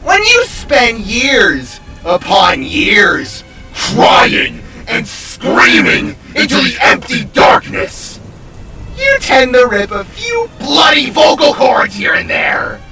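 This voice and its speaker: A man playing an unhinged, bombastic glitching digital demon villain in an audio story, mocking and theatrical, with a torn, raspy screaming edge. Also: very high-pitched, huge pitch swings, slow pace, bright, forceful tone, distant mic, faint music behind, exaggerated voice.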